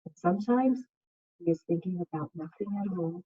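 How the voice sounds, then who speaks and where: A woman teacher speaking to young children in a read-aloud style, with measured, simple phrasing over a video call. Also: fairly low pitch, dark tone, slightly rough. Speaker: second grade teacher. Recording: muffled, phone-like video call audio.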